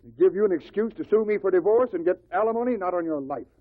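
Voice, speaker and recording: An actor playing a bitter, short-tempered husband, curt and sarcastic, in a 1950s radio drama. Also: male voice, high voice, expressive, quick pace, no room sound. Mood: angry, threatening. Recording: tinny, noisy old radio recording.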